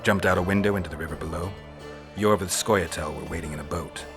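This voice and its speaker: A man voicing a gruff, stoic monster hunter in a video game, terse and matter-of-fact, with a gravelly voice. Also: sits low, fairly even intonation, fast, music in the background, close to an everyday voice. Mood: grim, measured.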